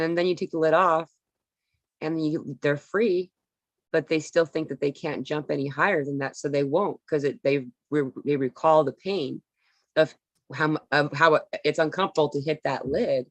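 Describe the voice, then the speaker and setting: A woman with a low voice talks earnestly about spiritual ideas. She explains in long, flowing run-on sentences. The sound is dry and close. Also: no echo. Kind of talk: casual spiritual talk.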